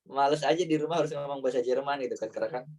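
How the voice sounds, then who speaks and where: A man's voice: mid-range voice, racing, darkish tone. Ngapak-accented guy in his 30s answering questions loosely, lots of uh and wallahu alam, sounds a bit far from the mic. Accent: Banyumas (Ngapak) Javanese-Indonesian. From Indonesia. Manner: casual, with frequent fillers and "wallahu alam". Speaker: guest answering viewer questions. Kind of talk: relaxed talk show chat.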